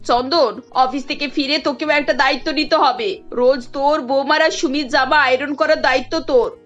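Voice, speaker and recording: Older cartoon mother-in-law played by a woman, high-pitched, over soft music. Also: lo-fi sound. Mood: firm, kindly. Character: older mother-in-law, first complaining, then repentant and organising.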